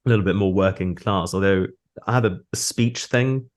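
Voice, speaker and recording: A man's voice: deep voice, normal speed. A man from Australia with a posh British accent and little nasal sound, chatting discursively and with dry politeness as a voice actor in an interview. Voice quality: weak nasals. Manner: wordy, discursive, politely wry. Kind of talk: casual interview chat.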